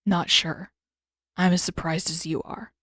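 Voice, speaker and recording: A woman's voice: on the low side, flat delivery, measured pace, pushed and bright, dry recording. A woman voicing a protective, blunt teenage boy, a prince in a fantasy audiobook, with an urgent, dry manner. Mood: dry, frustrated.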